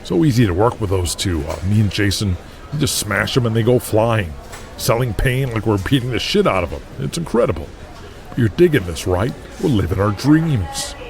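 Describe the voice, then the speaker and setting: An actor playing brash, excitable young pro wrestlers trading slangy, sweary banter in an audio drama. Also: male voice, lowish voice, normal speed, gravelly, soft background music. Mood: excited bragging.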